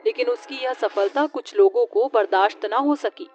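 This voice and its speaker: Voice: medium pitch, moderate pace, a little mellow, faint music behind. A storyteller narrating a scripted horror story in the manner of a tale read aloud. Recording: dull lo-fi audio, faint noise.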